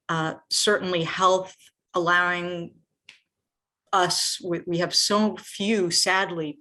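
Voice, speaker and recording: A woman from an Israeli American background speaks at a very unhurried pace in a slightly low register. She is earnest and halting, often stopping to find the next phrase, as she offers personal reflections at an online gathering. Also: fairly bright tone. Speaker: volunteer speaker at a nonprofit's online celebration. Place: online video event.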